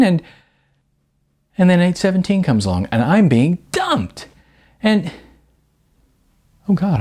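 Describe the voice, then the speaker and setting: A hurt young woman from a novel, voiced by a male reader, stammering and echoing words in disbelief. The pitch swings widely and the tone has a husky edge, with bewildered sarcasm throughout. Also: darkish tone, exaggerated voice. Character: confused, hurt young actress being dumped, incredulous and sarcastic.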